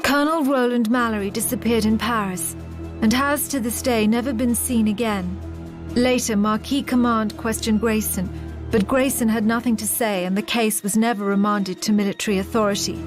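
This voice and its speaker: An actress playing a composed woman officer who recounts a war in a measured, formal game narration. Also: fairly high pitch, fairly even intonation, lightly acted. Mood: solemn, measured. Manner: measured, solemn, formal storytelling. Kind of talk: scripted game cutscene narration. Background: music.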